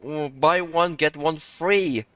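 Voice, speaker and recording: A man giving casual, rambling game commentary, halting and muttering. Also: on the high side, heavy background noise. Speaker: streamer playing a game.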